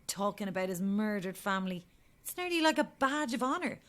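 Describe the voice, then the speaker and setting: A woman's voice: mid-range voice, animated, fairly quick, a bit bright, close to an everyday voice. A woman acting a sceptical, sardonic Irish journalist in an audio drama, blunt and challenging. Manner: challenging, dry.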